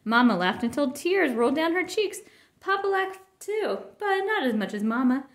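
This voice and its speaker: A woman reading a children's picture book aloud in an expressive narrating style. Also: high-pitched, moderate pace. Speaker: storybook reader.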